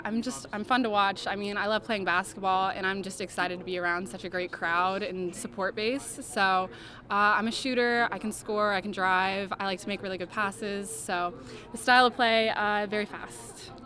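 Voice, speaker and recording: A woman's voice: medium pitch, normal speed, bright, forceful tone. A young woman, a college basketball player, answering reporters' questions in an upbeat, chatty way, with fillers like "I mean" and "like". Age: young adult. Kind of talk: media interview answers.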